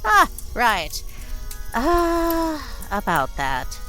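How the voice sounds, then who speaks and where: A woman's voice: on the high side, wildly swooping pitch, extremely slow, sharp tone, soft background music, full character voice, rough recording. A woman playing a gleefully wicked old witch with fussy, theatrical, archaic speech in a comic horror audio drama. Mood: defensive, indignant.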